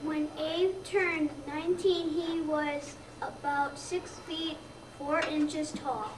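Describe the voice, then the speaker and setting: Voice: high-pitched, fairly even intonation, slow. A young child of about seven or eight, a second grader, reading a scripted narration aloud for readers theater. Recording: echoey room, distant mic, noisy background.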